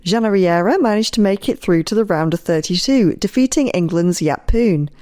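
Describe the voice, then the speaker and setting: A woman's voice: mid-range voice, lively intonation, normal speed, dark tone. An English woman hosting a podcast, reading scripted narration between interviews. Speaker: podcast host.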